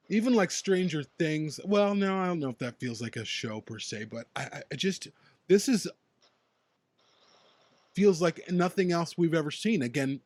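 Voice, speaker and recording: Excited chatty man, higher voice, dry sound. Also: dry recording. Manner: excited, chatty, laughs often. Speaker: podcast co-host. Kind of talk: casual chat between fans.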